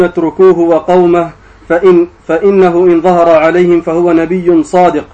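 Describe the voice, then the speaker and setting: A man reading classical religious text aloud as a student reciter, formal, measured and drawn out. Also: high-pitched, darkish tone. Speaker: student reciter of hadith texts. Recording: dull, muffled sound.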